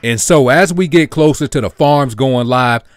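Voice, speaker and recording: A man hosting his own channel, explaining an investing strategy step by step over a screen recording in a steady, explanatory way. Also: medium pitch, moderate pace, no echo, faint music behind. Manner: steady, explanatory, walks through figures step by step. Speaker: crypto channel host. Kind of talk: screen-share walkthrough narration.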